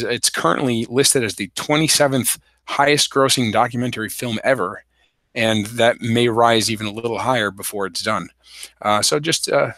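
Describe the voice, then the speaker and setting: A man hosting a solo legal explainer livestream, hoarse from a cold, talking with many fillers and restarts. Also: medium pitch, fairly bright tone, no room sound. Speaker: podcast host.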